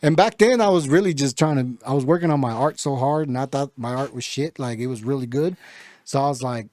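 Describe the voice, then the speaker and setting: A man's voice: medium pitch, a little fast, darkish tone, no room sound. A man chatting casually and slangily as a rapper and co-host in an unscripted podcast conversation.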